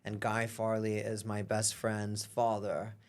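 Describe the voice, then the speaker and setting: A man's voice: fairly low pitch, completely flat delivery, slow. A young man born in London, a guest talking casually in an interview, rambling with many fillers. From born in London, grew up in Europe. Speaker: guest, singer and artist.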